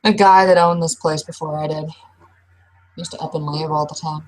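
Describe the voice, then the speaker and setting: A woman running and playing a casual, improvised tabletop role-playing game, talking in a halting, informal way. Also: sits low, steady tone, a little mellow, slight background noise, poor audio. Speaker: game master and player.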